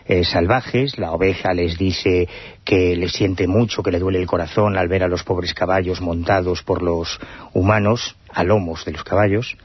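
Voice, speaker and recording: A man's voice: deep voice, steady tone, moderate pace, breathy edge, no room sound. A man co-hosting a radio talk show, telling and explaining a story at length. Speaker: radio co-host. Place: radio studio. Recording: dry lo-fi radio broadcast.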